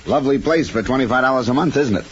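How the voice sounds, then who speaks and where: A man's voice: mid-range voice, very fast, close to an everyday voice. A man playing a wary, blunt rental agent in old-time radio drama style. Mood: wary, matter-of-fact. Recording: dull, noisy old radio recording.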